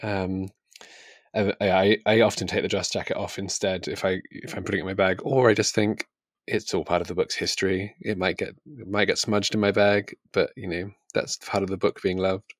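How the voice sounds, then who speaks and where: A man's voice: deep voice, little pitch movement, moderate pace, no echo. A man co-hosting a podcast, discussing books casually with informal, hesitant phrasing. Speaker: podcast co-host.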